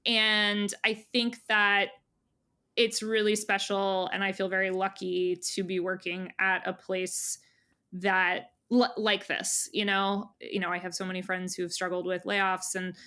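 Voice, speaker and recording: A woman's voice: medium pitch, flat delivery, a little slow, bright, forceful tone, smooth. A woman speaking as an interview guest, casual and earnest, rambling and often correcting herself. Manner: rambling, earnest, many hedges and self-corrections. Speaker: magazine editor-in-chief, interview guest.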